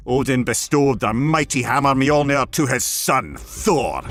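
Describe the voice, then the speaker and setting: A man's voice: mid-range voice, background noise. A man narrating a scripted mythological tale in a theatrical, emphatic, dramatic storytelling style. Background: background music.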